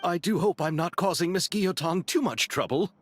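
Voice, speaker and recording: Polite, higher-voiced gentleman. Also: lively intonation, brisk, over loud music. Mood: considerate. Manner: deferential, courteous. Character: polite, considerate male film-crew leader. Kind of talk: video game voice acting.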